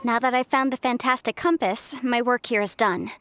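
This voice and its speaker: Actress as young game heroine, high voice, earnest, articulate, businesslike, faint ambience behind. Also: female voice, moderate pace, noisy background, lightly acted. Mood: thoughtful. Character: young woman adventurer, bright, earnest and businesslike. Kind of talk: game voice acting.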